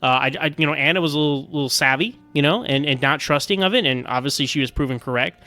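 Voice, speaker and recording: A man's voice: a little high, quick pace, pushed and bright. A man giving casual, rambling episode commentary as a podcast host, often stammering over repeated words.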